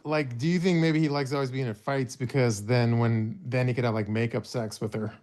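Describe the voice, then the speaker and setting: Man, podcast co-host, crude jokes, scattered, mid pitch. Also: fairly even intonation, brisk, a little mellow. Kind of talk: casual chat.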